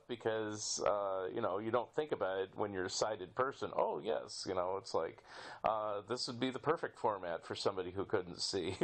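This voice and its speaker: A male podcast host with a fairly low voice. He chats casually as he interviews, feeling his way through long, halting questions that ramble along.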